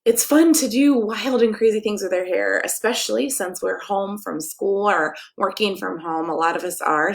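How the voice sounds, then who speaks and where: An American woman with a lowish voice and a noticeable creaky fry speaks as if to young children at story time. Her delivery is playful and expressive, moving between sing-song read-aloud and casual, direct chat. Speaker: pastor reading a picture book to children.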